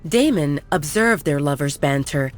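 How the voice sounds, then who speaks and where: A woman's voice: low voice, lively intonation, measured pace, faint music behind. A woman reading scripted fiction as an audiobook narrator.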